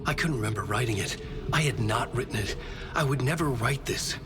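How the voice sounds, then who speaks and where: Loud music runs under an actor as a troubled male writer narrating his horror story for a video game. He speaks at a middle pitch in short, grave, brooding sentences, grim and determined. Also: fairly quick, lightly acted.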